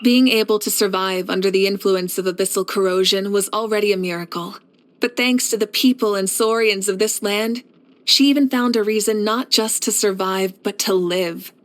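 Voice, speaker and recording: A woman voicing a steadfast, caring warrior leader in a video game, speaking in a measured, reflective and assured way. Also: medium pitch, lightly acted. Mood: solemn, caring. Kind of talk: scripted video game character voice lines.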